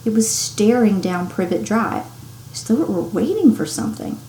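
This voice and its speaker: A woman teacher reading a story aloud to children in an expressive, animated way with dramatic emphasis. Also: mid-range voice, moderate pace. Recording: echoey room with clear background noise.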